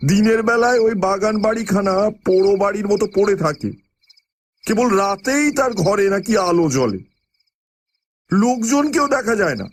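A man playing an ominous, gossiping village station master in an audio drama, speaking hesitantly with many pauses and murmurs. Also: high-pitched, expressive, slight background noise. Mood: ominous, secretive. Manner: halting, hesitant, full of pauses and murmured interjections. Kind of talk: Bengali audio drama acting.

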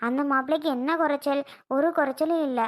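Woman acting a high-voiced, worried village friend. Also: fairly even intonation, quick pace, natural voice. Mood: firm coaxing. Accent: southern Tamil Nadu. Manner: coaxing, admonishing. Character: worried, practical female friend of the bride. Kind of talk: village family drama acting.

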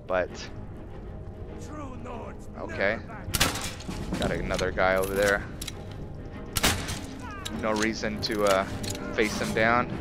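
A man giving casual gameplay commentary as host, thinking aloud in a halting way. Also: fairly low pitch. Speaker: gaming video host.

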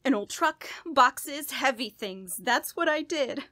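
An actress playing a guilt-ridden, unraveling woman in a radio horror play, telling her story in a halting, dramatic way. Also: high-pitched, lively intonation, bright, forceful tone, no room sound, clean studio sound, exaggerated voice. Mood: tense, recounting. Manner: halting, repeating words, breaking into nervous laughs and gasps. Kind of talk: dramatic first-person horror monologue in old-radio style.

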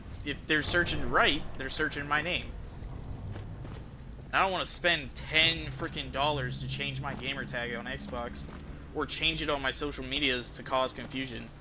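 Higher-voiced male streamer, noisy audio. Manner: informal, rambling. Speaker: game streamer. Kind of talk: casual livestream chat over gameplay. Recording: noisy stream mic with game ambience. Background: game audio.

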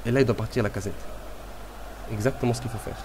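A man with a cold commentating his own football video game match in a casual, excitable way, calling out to the players and the referee. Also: medium pitch, fast talker, noisy background. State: has a cold.

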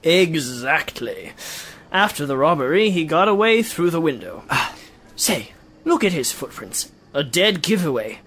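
A man's voice: fairly high pitch, sing-song, a little slow, fairly bright tone, husky, exaggerated voice. A man acting a shrewd, confident Western lawman in a radio adventure, explaining things with assurance.

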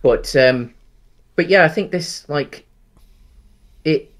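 A man's voice: a little high, slow pace, a bit bright. A man in his thirties, co-hosting a casual film chat over a headset at home, talking with many ums and restarts. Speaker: podcast co-host. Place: home room, indoors.

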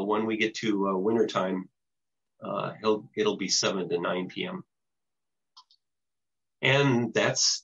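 An older American man giving an informal presentation and answering questions in a halting, hesitant way over a video call. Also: fairly low pitch, fairly bright tone, slightly rough. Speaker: presenter, radio show producer. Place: small home office with bookshelves, indoors. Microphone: computer mic on a video call. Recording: dull lo-fi video call audio.

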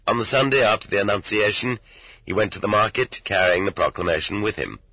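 Male narrator, low husky register, expressive literary reading, muffled cassette-era sound. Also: a little fast. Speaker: audiobook narrator. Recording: dull lo-fi roomy cassette audiobook.

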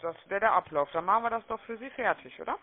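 A woman making a phone sales pitch, chatty and persuasive, often checking for agreement, heard over a telephone line. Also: fast talker. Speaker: telemarketing caller. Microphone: telephone call.

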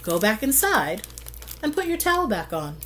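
A woman's voice: fairly high pitch, big pitch swings, moderate pace, a bit bright, a little hiss or hum. Woman reading as wise older gardener lady. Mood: knowing.

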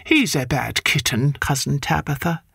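A woman voicing a stern, no-nonsense neighbour cat in a storybook reading, dropping her voice lower and firm. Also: expressive, moderate pace, vocal fry, a bit bright, breathy edge, no room sound, clean studio sound, full character voice.

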